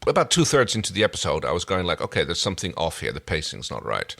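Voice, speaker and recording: A man's voice: low-pitched, wildly swooping pitch, quick pace, vocal fry, a bit bright. A man co-hosting a podcast, casually reviewing a TV episode, agreeable and thinking aloud as he goes. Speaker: podcast co-host.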